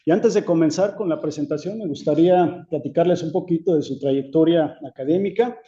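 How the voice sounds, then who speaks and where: A man's voice: on the high side, a little fast, mellow, dull audio. A man opening a seminar and formally introducing the guest, reading the bio aloud with an occasional filler. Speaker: seminar host.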